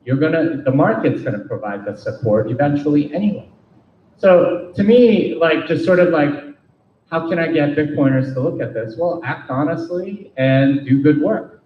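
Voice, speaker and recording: A man's voice: on the high side, expressive, moderate pace. Guy answering q&a at a meetup, pretty blunt, mic's far off, faint hiss. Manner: frank, emphatic, rhetorical questions to the audience. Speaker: speaker answering audience questions. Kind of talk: meetup Q&A talk. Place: meeting room. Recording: roomy, distant, dull lo-fi sound with faint noise.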